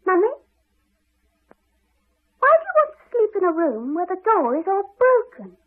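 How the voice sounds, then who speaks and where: A small, anxious young girl in a 1980s radio drama. The voice is extremely high and lively, plaintive and questioning. The recording is thin and narrow. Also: normal speed. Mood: anxious, pleading.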